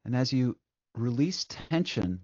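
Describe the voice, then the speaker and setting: A man leading a guided meditation as the guide, speaking gently and soothingly, unhurried, with long pauses between short instructions. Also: mid-range voice, sing-song, no room sound, muffled recording.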